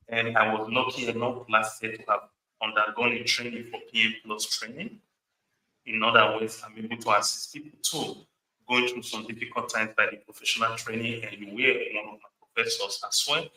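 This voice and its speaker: A man's voice: fairly low pitch, sharp tone, reverberant, distant mic, poor audio. A man hosting a podcast interview, formal and wordy, often repeating himself. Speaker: podcast host.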